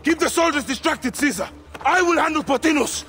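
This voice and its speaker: An actor playing a vengeful, resolute Egyptian warrior in a video game, solemn and intense. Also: male voice, very high-pitched, lively intonation, fairly quick, bright, forceful tone, long reverb tail, full character voice. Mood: urgent. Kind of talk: dramatic video game acting.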